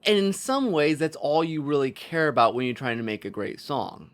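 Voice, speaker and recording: A man co-hosting a podcast, casually discussing films and storytelling as he thinks out loud. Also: on the high side, big pitch swings, moderate pace. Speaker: podcast co-host.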